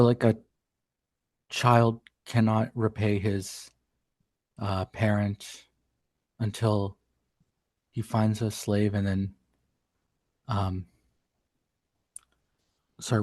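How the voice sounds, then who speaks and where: A man with a low voice answers a question haltingly. He thinks aloud and fills the gaps with ums and likes. The sound is dry and close. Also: level tone, a little mellow, dry recording. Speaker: student.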